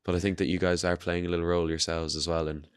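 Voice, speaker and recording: A man's voice: deep voice, little pitch movement, racing, clean tone, no room sound. A man hosting a podcast, asking his guests rambling, friendly questions. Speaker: podcast host. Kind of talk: casual interview.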